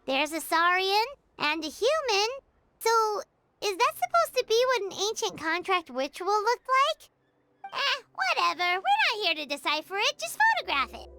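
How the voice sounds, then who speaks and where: A woman's voice: extremely high pitch, big pitch swings, moderate pace, heavily acted. A woman voicing a small, cheerful, confident childlike fairy companion in a video game, chatting casually and expressively. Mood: chatty, curious. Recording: loud game music behind.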